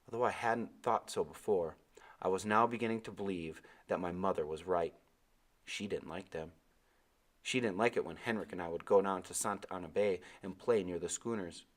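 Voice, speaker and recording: Low-pitched man narrating a storybook. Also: moderate pace. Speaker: read-aloud narrator.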